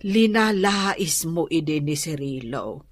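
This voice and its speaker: Slow, concerned Ilocano mother. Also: sits low, expressive, no room sound, heavily acted. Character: concerned, patient middle-aged mother. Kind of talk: radio drama acting.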